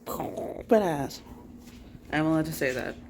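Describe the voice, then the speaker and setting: A man's voice: a little high, expressive, moderate pace. Man rambling bluntly over background noise. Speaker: vlogger. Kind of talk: casual rambling vlog chat. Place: bedroom at home. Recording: noisy home recording with clicks.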